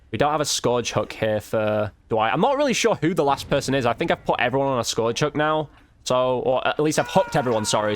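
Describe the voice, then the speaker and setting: A man's voice: medium pitch, slight background noise. A man commentating casually over gameplay as a content creator, chatting informally and thinking aloud.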